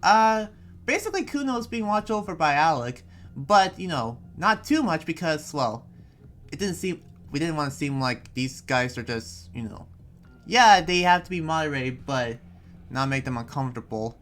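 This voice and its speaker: A man playing an uneasy, apologetic town guardian in an improvised anime game roleplay, explaining in a halting, hedging way. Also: fairly high pitch, lively intonation, fairly bright tone. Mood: defensive, hesitant.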